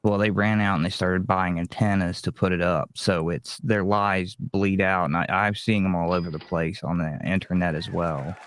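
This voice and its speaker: A man's voice: sits low, steady tone, normal speed, hardly any pauses, a bit bright. A man hosting a casual live-streamed discussion, chatting with the panel and reading out questions. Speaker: panel host.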